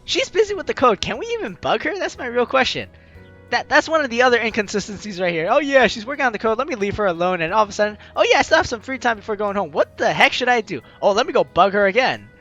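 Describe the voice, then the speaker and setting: A woman's voice: on the high side, sing-song, fast, fairly bright tone, highs cut off, faint music behind. A woman hosting a gaming video, reading game text aloud with playful, theatrical asides and casual commentary.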